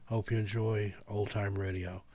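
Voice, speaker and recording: Low-voiced, informal male radio host. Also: little pitch movement, normal speed, mellow, no room sound. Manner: rambling, informal. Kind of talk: casual live announcing.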